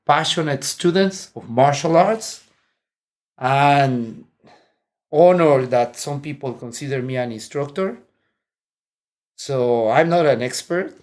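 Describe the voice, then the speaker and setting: Mexican-accented man, chatty and laughing. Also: on the high side. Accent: Mexican Spanish. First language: Spanish. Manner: friendly, laughs often, rambling with restarts. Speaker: interview guest, martial arts instructor. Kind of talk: casual interview chat. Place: indoor room, seated.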